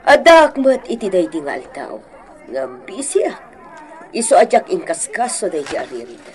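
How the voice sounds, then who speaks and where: A woman playing a composed grocery boss, measured and firm with an Ilocano accent in a radio drama. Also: on the low side, very animated, darkish tone, a faint crowd. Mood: calm, firm. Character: composed female grocery boss standing by her employee.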